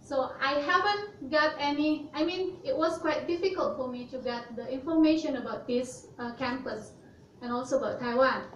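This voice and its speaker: A young woman from Indonesia speaks with a high voice and halting delivery, full of fillers and restarts. Her voice sits some way from the mic in an echoey hall, and the sound is muffled. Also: slight background noise. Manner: hesitant, many fillers and restarts. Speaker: student speaker. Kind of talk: presentation talk. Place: university hall. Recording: roomy, lo-fi sound from a distant mic.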